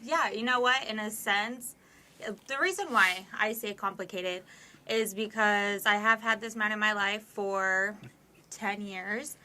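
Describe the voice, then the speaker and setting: A woman's voice: mid-range voice, unhurried, bright, forceful tone. A woman in her twenties, a podcast guest, talking candidly and at length with many fillers. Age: 20s. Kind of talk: casual conversation.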